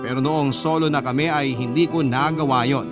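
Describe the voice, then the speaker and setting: A man's voice: medium pitch, fairly even intonation, fairly quick. A man with a Filipino accent narrating a scripted first-person story as a radio drama narrator. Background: loud guitar music bed.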